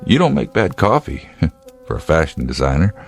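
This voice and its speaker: A state trooper with an extremely low voice, set in a radio drama. He is gruff, measured and protective, with a dry, laconic humor. Faint music sits behind his lines. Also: very animated, heavily acted. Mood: dry, wry. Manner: measured, laconic, dryly wry. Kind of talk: naturalistic radio drama acting.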